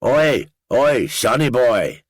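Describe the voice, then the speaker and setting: Man playing a Northern Irish lad in his twenties, rowdy, joking, hard-drinking, raised pitch, slightly husky, dry recording. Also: animated, slow pace, no echo. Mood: rowdy teasing. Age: 20s. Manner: boisterous, teasing, loud.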